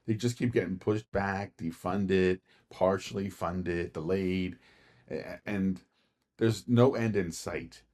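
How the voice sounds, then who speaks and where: A man's voice: low-pitched, steady tone, slow pace, a little mellow. An American man co-hosting a podcast, explaining his views in a plain-spoken, assertive, casually analytical way. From Philadelphia, United States. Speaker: podcast co-host.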